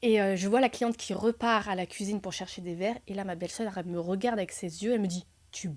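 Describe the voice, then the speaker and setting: A young woman co-hosting a real estate podcast, casually telling a lively anecdote with frequent fillers and laughs. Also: medium pitch, quick pace. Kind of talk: casual storytelling chat.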